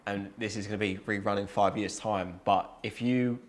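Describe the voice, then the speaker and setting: A man's voice: fairly low pitch, steady tone, normal speed. A man in his thirties hosting a casual interview, asking loose, chatty questions. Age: 30s. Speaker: host.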